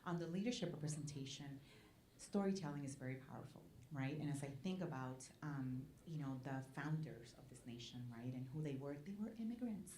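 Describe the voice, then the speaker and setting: A low-pitched woman speaks. She is a Spanish giving an earnest talk at a forum and keeps asking her listeners "right?". She was recorded in an echoey event hall with faint background noise. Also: animated, a little fast, dark tone. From Puerto Rico. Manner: earnest, often asks the room "right?". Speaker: nonprofit president speaking at a panel. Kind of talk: public talk at a community forum.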